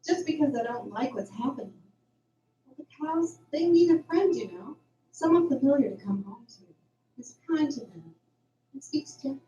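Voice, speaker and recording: A wary but kind-hearted farm hand acted by a woman in a radio play. Her voice is a little high, heard from a distance in a roomy space. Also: lively intonation, moderate pace, dark tone, lo-fi sound. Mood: tender, sad. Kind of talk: audio drama dialogue. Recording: roomy, distant, noisy amateur recording.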